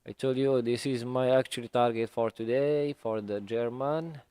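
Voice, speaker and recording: A man with English as his third language, live-streaming his trading and talking through it slowly with many fillers at a home desk. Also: medium pitch, fairly even intonation, warm tone, clear, resonant tone, dry recording. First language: not English. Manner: slow, hesitant, many fillers.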